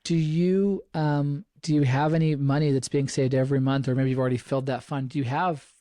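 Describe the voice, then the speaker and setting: A man's voice: medium pitch, fairly even intonation, brisk, dark tone, no echo, studio quality. A middle-aged man hosting a podcast, giving earnest advice in a casual way and often restarting his sentences. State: slightly froggy voice. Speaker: podcast host.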